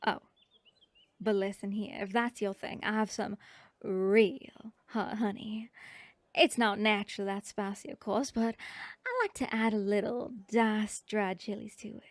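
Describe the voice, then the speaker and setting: A woman voicing a friendly young bee girl honey seller, speaking with a Southern drawl in a folksy, hospitable way and slipping into soft whispers, close to the microphone. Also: mid-range voice, dry recording, natural voice. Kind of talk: ASMR roleplay. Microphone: close ASMR microphone.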